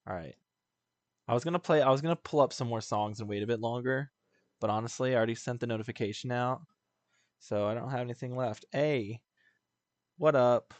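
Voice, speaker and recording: A man's voice: medium pitch, fairly quick, long pauses, darkish tone, no echo. A man chatting casually as he streams a video game, rambling in a slangy, jokey way. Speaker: live streamer.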